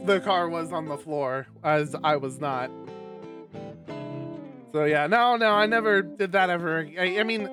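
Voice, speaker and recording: A man livestreaming and reading a visual novel aloud, sweary and animated, with exasperated asides and guitar music behind him. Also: a little high. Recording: loud music under the voice. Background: plucked guitar game music.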